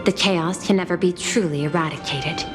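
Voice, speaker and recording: A woman's voice: on the low side, moderate pace, lightly acted. An actress playing a shrewd, controlling corporate woman in a video game, speaking precisely and with condescension. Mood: cool, calculating. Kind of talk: scripted video game voice acting. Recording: loud music under the voice. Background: game music.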